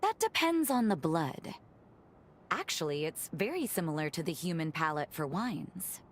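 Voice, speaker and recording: A woman voicing a gentle young vampire in a video game cutscene, speaking politely and thoughtfully with formal wording and soft teasing. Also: lowish voice, sing-song, normal speed. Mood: fond, reflective. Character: gentle revenant woman, a vampire who loves cooking.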